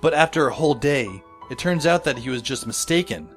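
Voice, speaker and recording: A man plays a teenage boy narrating an audio drama in the first person. He is wry and reflective, at a middling pitch for a male voice. Music runs clearly behind him. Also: lively intonation, fairly quick, lightly acted. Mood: earnest questioning. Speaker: narrator and lead character. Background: music under the voice.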